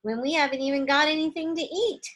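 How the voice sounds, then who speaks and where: A woman's voice: high-pitched, steady tone, fast, fairly bright tone, voice pushed up. A woman reading the part of a sulky, suspicious young boy in a storybook, with a needling, grumbling manner.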